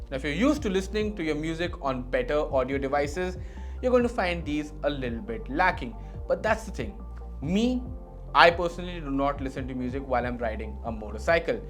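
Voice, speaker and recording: A man giving an explanatory product review in a measured way, leaning on key words for emphasis. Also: a little high.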